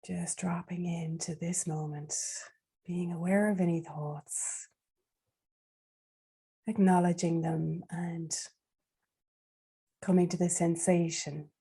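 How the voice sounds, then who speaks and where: A woman leading a guided meditation in a soft, breathy, soothing voice, leaving long unhurried pauses. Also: on the low side, flat delivery.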